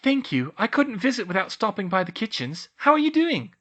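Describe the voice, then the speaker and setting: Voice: medium pitch, big pitch swings, brisk, a bit bright, dull audio, pitched above the performer's own voice. A reader voicing Hermione, an earnest, caring young witch. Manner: earnest, concerned.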